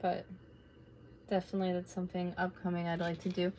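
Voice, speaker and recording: A woman in her late twenties, slightly raspy from talking, chatting casually and rambling while she crafts at home on a live stream. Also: lowish voice, monotone, fairly quick, mellow, a little hiss or hum, rough recording. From North America. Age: late 20s. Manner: rambling, chatty, laid-back with filler words.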